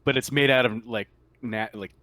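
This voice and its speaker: A man's voice: mid-range voice, fairly even intonation, normal speed, bright, forceful tone, no echo. A man narrating a tabletop roleplaying game as game master, describing scenes in a loose, conversational way.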